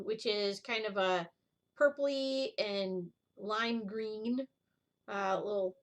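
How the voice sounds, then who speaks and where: A woman's voice: mid-range voice, a bit bright. A middle-aged woman chatting casually as the host of a needlework video, rambling and drifting off on tangents. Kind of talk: casual chat, show-and-tell vlog.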